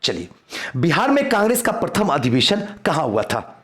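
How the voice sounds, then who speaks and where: Man teaching, highly animated pitch. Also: normal speed. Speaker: exam coaching teacher. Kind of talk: quiz-style lecture for exam revision.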